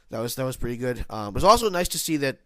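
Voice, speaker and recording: Stuffy nasal guy rambling thru an episode review, smooth clear voice, dry close sound. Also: mid-range voice, fairly bright tone, no room sound. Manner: rambling, many restarts and self-corrections. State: congested from hay fever. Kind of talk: casual spoken review.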